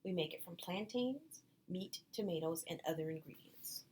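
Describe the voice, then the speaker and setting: A woman's voice: lowish voice, lively intonation, moderate pace, a little mellow, pitched below the performer's own voice, lightly acted. A woman reading a children's storybook, voicing a kindly, welcoming mother, with some background noise. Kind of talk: children's storybook read-aloud.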